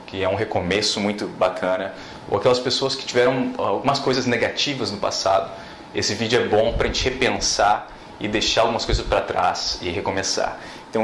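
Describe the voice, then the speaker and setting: Fast-talking man, echoey room. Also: fairly low pitch, a bit bright. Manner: direct, motivational, to camera. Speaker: vlogger. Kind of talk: casual video intro. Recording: echoey room, faint noise.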